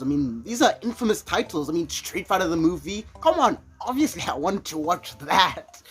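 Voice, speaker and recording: A video essayist with a slightly husky voice, delivering scripted movie commentary in a conversational way with casually wry asides. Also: mid-range voice, a little mellow.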